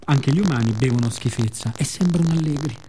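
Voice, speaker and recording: A man playing the sea as a weary, bitter yet tender narrator in a theatrical radio monologue with deliberate repetitions. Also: mid-range voice, mellow, faint music behind, natural voice. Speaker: actor performing own monologue. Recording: clear background noise and ambience.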